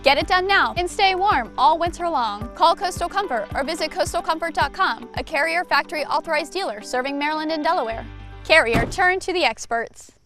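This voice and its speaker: A woman with a high voice delivers a scripted commercial. Her read is a bright sales pitch, with soft music running beneath. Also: animated, a little fast. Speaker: commercial announcer.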